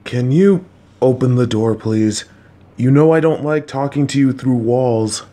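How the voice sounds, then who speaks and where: A man voicing a kind, worried, clueless father who talks to his child in a gentle, patient, anxious, rambling way. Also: medium pitch, a little slow, slight background noise, lightly acted. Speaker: voice actor. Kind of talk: audio roleplay for a listener.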